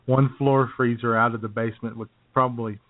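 Teasing co-host on a tinny line. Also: male voice, medium pitch, level tone, a little fast, dry recording. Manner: teasing, laughs often. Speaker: podcast co-host. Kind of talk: casual banter. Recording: tinny phone-like sound.

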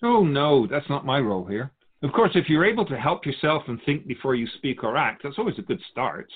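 A man speaking as a spiritual guide, explaining things in a measured, didactic, conversational way. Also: medium pitch, very animated, husky, narrow, tinny audio.

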